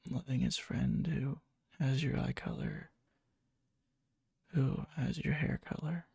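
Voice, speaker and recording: A man playing a needy yandere young man confessing love to a friend in a hushed, halting ASMR roleplay, often slipping into a whisper, breathy and gravelly. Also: mid-range voice, no room sound, studio quality, heavily acted. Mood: hushed, defensive. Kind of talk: ASMR boyfriend roleplay, soft intimate storytelling. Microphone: close mic, dry.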